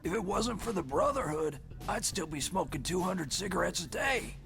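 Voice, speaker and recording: Man playing high, gravelly loyal animal mutant. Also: lively intonation, normal speed. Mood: earnest. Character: loyal, earnest animal-mutant lab escapee. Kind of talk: motion comic voice acting. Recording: prominent music behind the voice.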